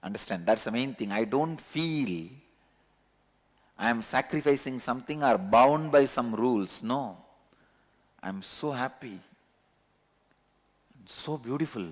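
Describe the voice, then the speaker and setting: A man's voice: mid-range voice, lively intonation, a little slow, pauses often. A man with a Tamil accent giving a spiritual discourse as a teacher, speaking earnestly and repeating key words for emphasis. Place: large satsang hall. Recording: long reverb of a large hall.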